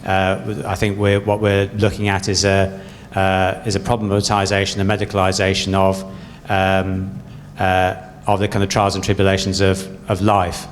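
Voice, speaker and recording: Hesitant male candidate, very low monotone voice, reverberant hall. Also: normal speed. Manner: hesitant, many fillers. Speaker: parliamentary candidate. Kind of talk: debate answer at a hustings. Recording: echoey hall with faint hum.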